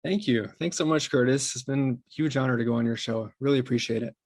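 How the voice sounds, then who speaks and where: A man's voice: medium pitch, quick pace. A man speaking conversationally as an interview guest, earnest and appreciative in manner. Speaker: interview guest, podcast company co-founder.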